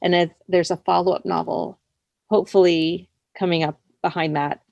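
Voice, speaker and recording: A woman's voice: on the low side, level tone, moderate pace, gravelly, a little mellow, dry recording, muffled recording. A woman speaking casually as an author being interviewed.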